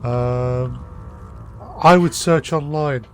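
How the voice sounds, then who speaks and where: A man from the UK live streaming a game, chatting casually and helpfully with hesitant pauses. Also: mid-range voice. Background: game music.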